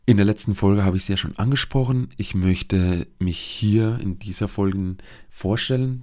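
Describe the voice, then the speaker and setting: A man's voice: low voice, a little mellow. A young man in his twenties with a regional dialect, hosting his own podcast in an earnest, hesitant monologue. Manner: earnest and searching, with frequent hesitations and restarts. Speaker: podcast host, social worker.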